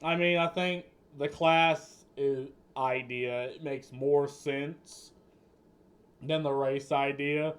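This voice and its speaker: Male streamer, unhurried and rambling, profane off-the-cuff commentary. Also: fairly high pitch, fairly even intonation. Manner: rambling, swears often, restarts mid-sentence. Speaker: streamer reacting to news clips. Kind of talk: casual political commentary.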